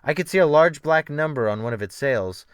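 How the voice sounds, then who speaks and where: A man's voice: fairly high pitch, lively intonation, fairly quick, smooth, dry recording, studio quality. A man narrating a novel as a dramatic, scripted audiobook reading.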